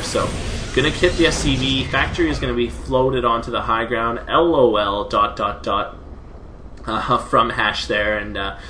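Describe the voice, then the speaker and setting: A young man casting a video game match by himself, slightly hoarse after a long day, chatty with lots of fillers. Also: medium pitch, background noise. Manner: chatty, many fillers, laughs at plays. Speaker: esports caster. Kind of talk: solo play-by-play game commentary.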